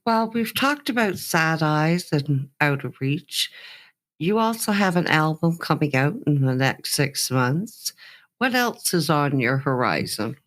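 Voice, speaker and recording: Low-pitched woman hosting an interview. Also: sing-song, a little slow. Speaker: show host, interviewer. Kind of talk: conversational interview.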